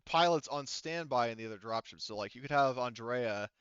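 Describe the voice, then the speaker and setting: A man's voice: medium pitch, brisk, fairly bright tone, clean tone, dry recording, muffled recording. A man running an online tabletop game as game master, talking casually.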